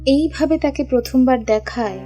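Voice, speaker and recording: A woman's voice: sits high, normal speed. A woman reading scripted narration for an audio love story. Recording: music bed behind the voice.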